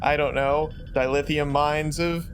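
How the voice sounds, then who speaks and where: A man's voice: on the high side, steady tone, a little slow, music in the background. A man chatting casually and jokily as a player in a tabletop roleplaying group. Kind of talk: casual, joking group chat.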